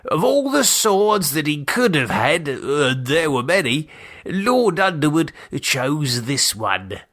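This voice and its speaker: Man acting a fond father, playful, slow and deliberate, lively swooping pitch, audiobook storytelling. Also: heavily acted. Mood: fond, proud. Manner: hesitant, slow, affectionate explaining. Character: fond playful English father, gleefully wicked about swords.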